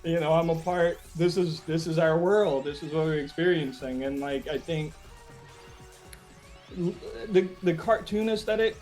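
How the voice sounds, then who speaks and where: A man being interviewed as a musician, chatting casually and reflectively, often halting as he searches for words. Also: fairly high pitch, darkish tone, soft background music, low-quality recording.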